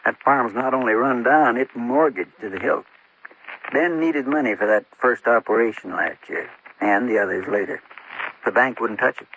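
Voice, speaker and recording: An actor playing a steady, plain-spoken small-town constable with a rural American accent in a vintage radio drama. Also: male voice, mid-range voice, natural voice. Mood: reluctant, thoughtful. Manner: folksy, deliberate, plain-spoken. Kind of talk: golden-age radio acting. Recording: noisy, clicking old radio recording.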